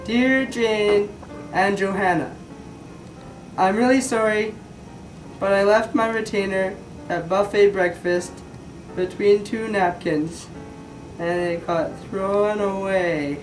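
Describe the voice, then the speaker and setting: A woman's voice: medium pitch, slow, long pauses. A woman reading a child's letter aloud. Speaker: staff member reading aloud. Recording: roomy distant mic with loud music. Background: loud guitar music.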